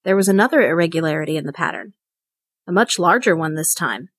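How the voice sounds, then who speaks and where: A woman's voice: fairly low pitch, animated, quick pace, a bit bright, no echo, studio quality. A woman reading a short story aloud as scripted narration. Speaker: story reader.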